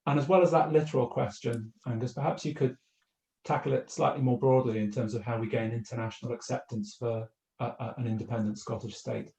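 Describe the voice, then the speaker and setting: A man hosting, reading out audience questions in a measured way. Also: mid-range voice, fairly even intonation, vocal fry, mellow. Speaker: host. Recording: roomy echo.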